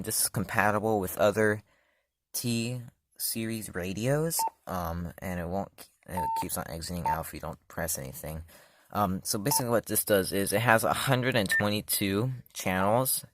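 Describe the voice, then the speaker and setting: A man's voice: fairly low pitch, fairly bright tone, a little hiss or hum. A man casually demoing a product, talking loosely with many fillers and self-corrections. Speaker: product reviewer. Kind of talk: casual hands-on demo.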